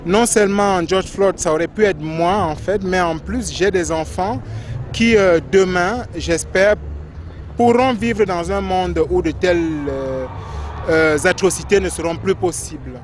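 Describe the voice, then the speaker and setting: Dad at a protest answering a reporter, hesitant, ums. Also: male voice, high voice, unhurried, slight background noise. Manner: hesitant, with fillers. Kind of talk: interview answer.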